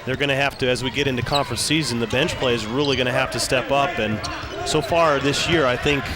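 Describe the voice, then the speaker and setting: A man's voice: mid-range voice, fast talker, over a noisy crowd. A man giving live basketball color analysis courtside, explaining in a conversational way and often rephrasing himself.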